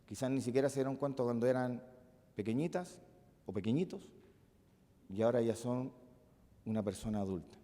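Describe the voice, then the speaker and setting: A male pastor with a Chilean accent preaching a sermon, moving between loud emphatic peaks and soft, musing asides. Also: medium pitch, brisk, long pauses. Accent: Chilean Spanish. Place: church hall. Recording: long reverb of a church hall.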